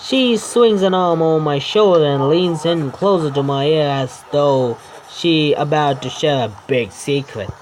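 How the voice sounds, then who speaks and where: A man's voice: on the high side, unhurried, hardly any pauses, slight background noise, poor audio. A man reading a visual novel's narration aloud for a let's play, often stumbling over the words.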